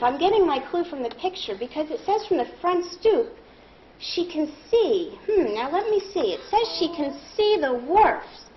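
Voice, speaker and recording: A woman leading a read-aloud lesson as a primary teacher, deliberate and instructive, thinking out loud. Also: very high-pitched. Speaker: primary school teacher reading aloud to a class. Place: classroom. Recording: muffled classroom recording with clear background noise.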